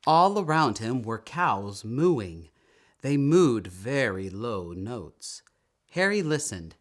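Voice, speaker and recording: A man reading a children's story aloud in an expressive, emphatic storytelling manner, drawing out words. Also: mid-range voice, takes it slowly. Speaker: storytime reader.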